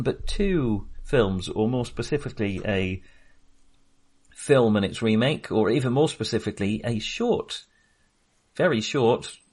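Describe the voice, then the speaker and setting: Hesitant, lower-voiced male podcast host. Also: a little mellow, husky. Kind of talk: casual film discussion.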